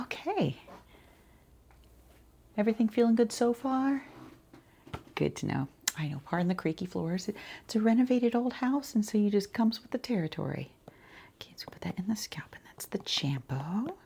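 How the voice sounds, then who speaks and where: A woman talking quietly in an ASMR shop roleplay, gentle and chatty, with a soft, breathy voice that often drops toward a whisper. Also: mid-range voice, expressive, moderate pace, pauses often, slight background noise. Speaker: ASMR roleplayer as boutique shopkeeper and channel host.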